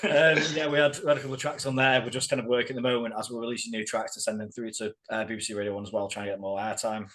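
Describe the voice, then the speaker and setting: A man's voice: medium pitch, little pitch movement, very fast, hardly any pauses, fairly bright tone. A man in a band answering interview questions in an informal, laid-back way. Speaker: band member being interviewed. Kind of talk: casual interview chat.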